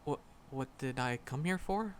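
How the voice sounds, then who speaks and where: A man's voice: mid-range voice, lively intonation, slight background noise, close to an everyday voice. A man playing a shy, flustered magic professor in a roleplay audio drama, stammering and nervous as he talks to a secret crush. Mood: shy, rambling. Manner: nervous, halting, stammering, rambling. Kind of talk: roleplay audio drama, intimate one-sided dialogue.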